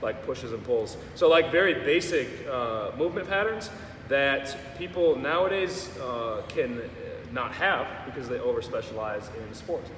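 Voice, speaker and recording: A man's voice: medium pitch, a little slow, few pauses, slight background noise. A young man, a strength coach, talking loosely and joking in a casual interview. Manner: relaxed, joking, explains in long loose runs. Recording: echoey room, mic far off.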